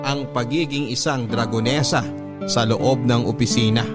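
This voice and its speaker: A man narrating a first-person letter for a radio drama in a measured, reflective storytelling manner. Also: medium pitch, steady tone. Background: guitar music.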